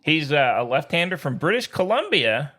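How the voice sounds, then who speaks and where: An American man co-hosting a sports podcast in casual, slangy, joking banter, boisterous and quick to laugh. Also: fairly high pitch, big pitch swings, pushed and bright.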